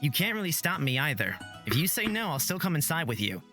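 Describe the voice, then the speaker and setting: A man's voice: on the high side, very animated, fast talker, bright, forceful tone, soft background music, exaggerated voice. A man playing an earnest, apologetic and stubbornly caring young hero in a fantasy visual novel. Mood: concerned.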